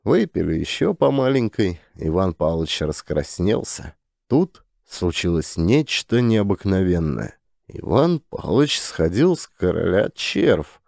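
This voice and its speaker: A man narrating a classic story as an audiobook, in a measured storytelling manner. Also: sits low, gravelly, a little mellow, dry recording, clean studio sound.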